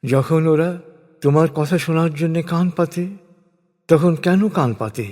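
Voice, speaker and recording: A man reads translated scripture as a scripted narrator, in a solemn style with measured phrases and deliberate pauses. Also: a little high, dark tone. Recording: long reverberant hall echo.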